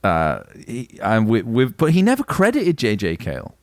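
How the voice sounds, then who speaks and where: A man's voice: mid-range voice, wildly swooping pitch, moderate pace, no echo, clean studio sound. A man hosting a podcast, chatting casually in a rambling, slangy, sweary way. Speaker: podcast host. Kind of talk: casual banter.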